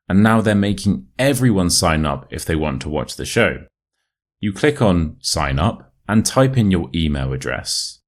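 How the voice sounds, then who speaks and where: A man with a modern RP British accent reading a short story as an English teacher, in a measured, clearly enunciated way. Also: low-pitched. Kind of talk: scripted story narration for listening practice.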